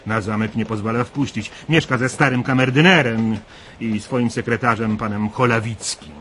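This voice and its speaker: A mid-pitched man's voice, an actor's as a chatty local storyteller in a radio play. He talks with folksy emphasis over faint background noise. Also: fairly even intonation, moderate pace, fairly bright tone, close to an everyday voice. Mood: confiding, chatty. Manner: talkative, emphatic, colloquial. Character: chatty, folksy local man, proud castle gossip. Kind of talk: 1990s Polish radio drama acting.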